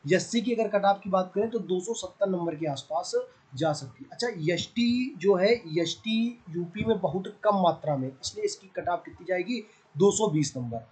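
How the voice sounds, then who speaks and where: A man's voice: sits high, animated, normal speed, darkish tone. A man with a North Indian accent giving an informal, conversational coaching lesson, often checking in with his listeners. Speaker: exam coaching teacher.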